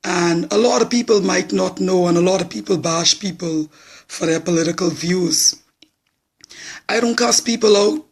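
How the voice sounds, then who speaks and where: A hoarse man in his forties with a Bahamian accent, talking bluntly and plainly to viewers on a live social media stream. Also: high voice, moderate pace. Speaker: political supporter.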